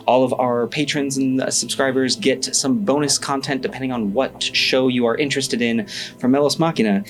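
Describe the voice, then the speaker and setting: A man hosting and narrating a tabletop game as game master, speaking casually with halting pauses as he picks his words. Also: mid-range voice. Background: background music.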